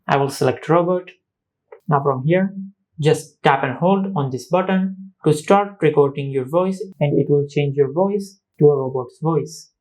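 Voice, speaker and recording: A man's voice: fairly high pitch, measured pace, darkish tone, rough recording. A man giving a step-by-step tech tutorial as a host, in repetitive, instructional phrasing.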